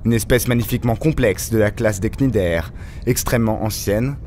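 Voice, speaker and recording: A man's voice: on the low side, moderate pace, a little mellow, slight background noise, lightly acted. An actor playing a cryptic, erudite male recluse in an audio drama, theatrical and condescending, over prominent music. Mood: grave, lecturing. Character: cryptic, erudite male deep-sea recluse, scornful of surface dwellers. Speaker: reclusive expert character. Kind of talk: French audio fiction acting.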